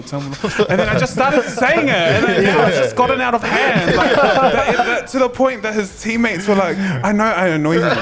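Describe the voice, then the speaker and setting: A man chatting casually and playfully, telling personal anecdotes and quoting people as he goes. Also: high voice. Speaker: podcast guest. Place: filmed podcast at a hotel venue.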